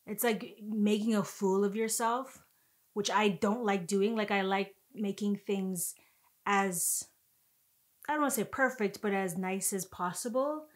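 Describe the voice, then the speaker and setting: A young woman vlogger telling a story in casual chat, animated and expressive, recorded on a sofa in a living room. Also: mid-range voice, measured pace.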